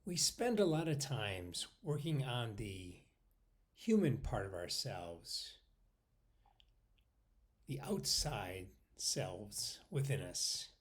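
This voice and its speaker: Man, meditation guide, slow pace, lively pitch movement, short paused phrases, soft trailing endings. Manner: unhurried, pausing between short phrases, often trailing off softly.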